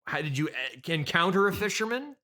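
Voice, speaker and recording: A man's voice: high voice, sing-song, fairly quick, sharp tone. A man interviewing as a podcast host in an improvised comedy chat, questioning incredulously and echoing what he hears.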